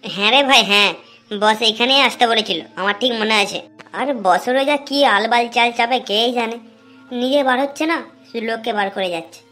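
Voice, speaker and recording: A woman's voice: a little high, a little fast, pushed and bright, faint music behind. A woman voicing a grumbling, sly petty thief in a comic cartoon.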